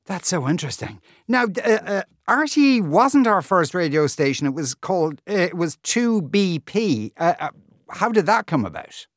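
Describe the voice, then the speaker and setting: An Irish man interviewing as a radio host, with a voice that swings widely in pitch, a little higher than average. He sounds curious and conversational, recorded close and dry. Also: big pitch swings, normal speed, no room sound.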